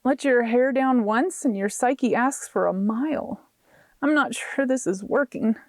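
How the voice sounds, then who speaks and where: A woman's voice: on the high side, a little slow, a little mellow, no room sound, studio quality. A woman voicing a wry, self-deprecating young female shopkeeper in an audiobook, a little evasive in manner.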